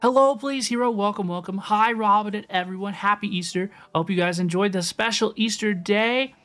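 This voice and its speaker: Woman streamer, mid-pitched voice, casual chat to viewers, repeated phrases, faint game music behind. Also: fairly quick, a bit bright. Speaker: game livestreamer. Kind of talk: casual livestream chat over gameplay.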